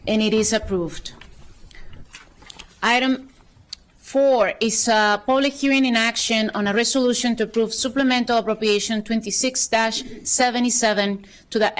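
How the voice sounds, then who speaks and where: A woman chairing a county council session, reading procedural items briskly with light asides, at a desk microphone in a council chamber. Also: fairly high pitch. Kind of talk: formal procedural meeting chairing.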